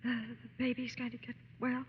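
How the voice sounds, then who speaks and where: A woman's voice: fairly high pitch, flat delivery, normal speed, breathy edge. An actress playing an anxious, faltering mother overwhelmed with emotion in vintage radio drama. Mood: tearful relief. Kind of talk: 1940s radio acting. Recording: noisy, muffled, reverberant old radio recording.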